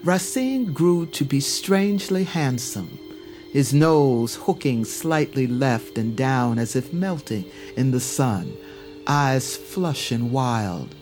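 A man tells a dramatic tale as a scripted narrator. His delivery is slow, measured and literary, at a middling pitch, with faint music underneath. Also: lively intonation, darkish tone.

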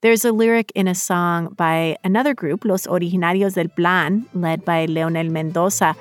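A woman's voice: fairly low pitch, fairly even intonation, normal speed, no echo, studio quality, faint music behind. A woman hosting a radio news show, reading scripted narration. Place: dry broadcast studio.

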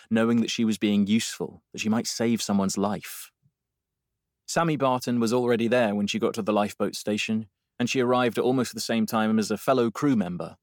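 A man narrates a novel with brisk, no-nonsense delivery. His voice is fairly low, and the recording is dry and close. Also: dry recording. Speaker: audiobook narrator.

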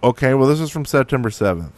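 Animated American man bantering. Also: mid-range voice, fast talker, no room sound. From Alabama, United States. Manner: joking, playful. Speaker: podcast co-host. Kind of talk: casual podcast banter.

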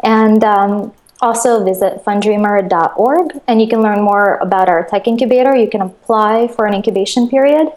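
A woman's voice: mid-range voice, moderate pace, few pauses, darkish tone. A woman from Russia, guest in a casual interview, talking enthusiastically and chattily about her crowdfunding platform over a video call. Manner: enthusiastic, chatty, laughs often.

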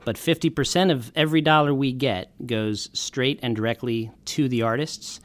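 A man who leads a nonprofit explains its work in a friendly, clear way as an interview guest. His voice sits at a middle pitch. The recording is close and dry. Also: normal speed, no echo. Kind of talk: interview answers.

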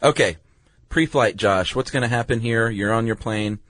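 A man's voice: mid-range voice, moderate pace, a bit bright. A man with an American accent co-hosting a podcast in casual, laid-back, playful conversation. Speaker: podcast co-host.

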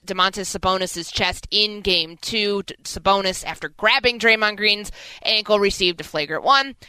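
A woman's voice: medium pitch, sharp tone, clear, resonant tone, no echo, rough recording. A woman hosting sports talk radio, giving fluent, assertive commentary.

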